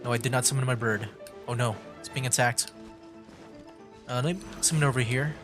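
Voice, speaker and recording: Livestream gamer: medium pitch, hesitant casual commentary, thinking aloud over game music. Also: fairly quick. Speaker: game streamer.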